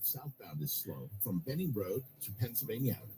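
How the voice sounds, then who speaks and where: Lively male radio traffic reporter. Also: fairly low pitch, brisk, crowd noise, rough recording. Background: music bed.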